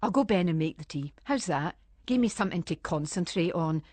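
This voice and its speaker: Anxious, chatty Scottish housewife, close dry sound. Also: female voice, fairly low pitch, animated, brisk, a little mellow, dry recording. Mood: nervous chatter. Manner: rambling, homely, nervous chatter in broad dialect. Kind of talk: dramatic monologue for radio.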